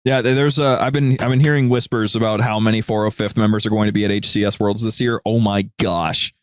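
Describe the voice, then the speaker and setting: A man with a fairly low voice chats casually on a podcast. He sounds enthusiastic and uses loose slang, and the recording is dry and close. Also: little pitch movement, fast talker, dry recording. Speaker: podcast guest and co-host. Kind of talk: casual chat.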